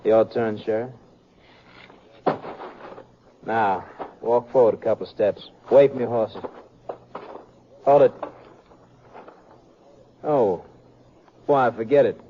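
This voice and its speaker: An American actor playing a callous, drawling outlaw who makes folksy threats in a 1950s radio western. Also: male voice, fairly low pitch, fairly even intonation, a little slow, pauses often, slight background noise, close to an everyday voice. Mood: cool menace. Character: callous armed outlaw, drawling, coolly menacing man. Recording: dull lo-fi 1950s radio recording.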